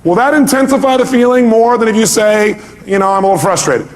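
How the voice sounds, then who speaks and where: A man's voice: high-pitched, quick pace. A man giving a motivational seminar talk to an audience, speaking emphatically and pressing points with rhetorical questions.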